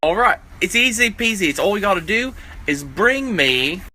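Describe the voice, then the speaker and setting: An excitable magical bumblebee, cheeky and bossy. The voice leaps very high and loud, with bright, forceful edges, over a slightly noisy recording. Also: male voice, moderate pace, full character voice.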